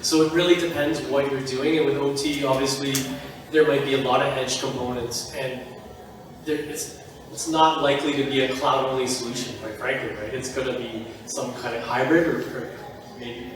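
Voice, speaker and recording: A man's voice: medium pitch, steady tone, normal speed. A man on a conference panel, explaining in a casual, joking manner. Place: large conference hall. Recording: echoey hall, mic far off.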